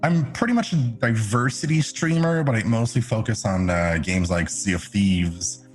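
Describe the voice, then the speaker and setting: A low-voiced man from Canada, a native French speaker, talks through his streaming hobbies in a relaxed, chatty way. He laughs easily and his pitch leaps up when he gets excited. Faint music hums underneath. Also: moderate pace. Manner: chatty, laughs often, animated jumps in pitch. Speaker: podcast guest, streamer. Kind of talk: casual chat.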